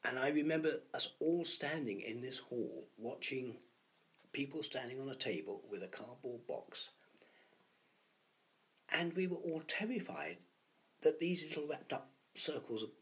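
Musing man with hushed asides. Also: mid-range voice, expressive, unhurried, pauses often. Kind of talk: anecdotal interview chat.

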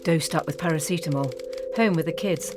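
An actress playing a steady, reassuring woman at a newspaper office in an audio drama, calm and brisk. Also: deep voice, over music, natural voice.

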